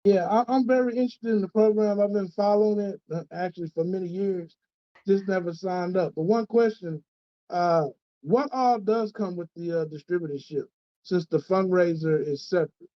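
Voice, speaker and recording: A man's voice: high-pitched, normal speed, no echo. A man calling in to a conference call with casual questions, hesitant and repeating his words. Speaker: caller asking questions. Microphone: conference call line. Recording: dull, dry lo-fi call audio.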